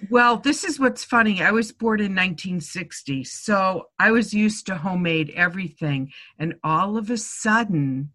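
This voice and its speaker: A woman in her sixties with a Boston accent, a chef telling stories as an interview guest in a chatty, lively way. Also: medium pitch, moderate pace, few pauses. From Connecticut, USA. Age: 60s. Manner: chatty, warm storytelling, laughs often. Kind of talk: casual conversation.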